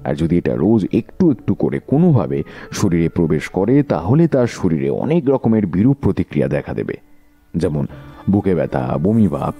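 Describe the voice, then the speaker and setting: A man playing a sharp detective in a Bengali audio drama, speaking with rhetorical, theatrical questioning. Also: low-pitched. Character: sharp, theatrical male detective unmasking a killer.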